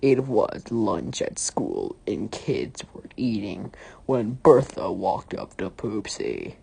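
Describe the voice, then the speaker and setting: Very deep-voiced woman reading theatrically. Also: sing-song, vocal fry, dark tone, slightly rough. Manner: deliberate, theatrical phrasing. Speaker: story reader. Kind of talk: mock-dramatic scripted narration.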